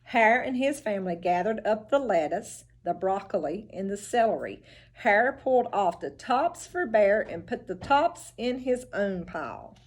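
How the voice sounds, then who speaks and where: Female storybook reader for children: instructive, expressive, slow pace, lively pitch swings. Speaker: teacher reading aloud to children. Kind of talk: storybook read-aloud with asides to children.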